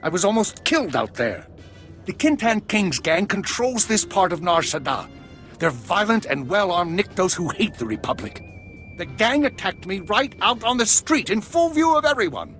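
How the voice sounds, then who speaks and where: An actor playing an anxious, pleading diplomatic minister in a video game, agitated and dramatic. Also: male voice, high voice, big pitch swings, normal speed, a bit bright, soft background music. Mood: frightened pleading. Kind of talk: video game character dialogue.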